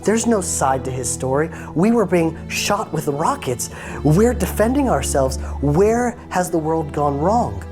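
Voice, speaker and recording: A man's voice: fairly high pitch, expressive, a little slow, music in the background. A man in his thirties from Atlanta, giving an earnest personal testimony as an interview guest in short fervent phrases. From United States (Atlanta).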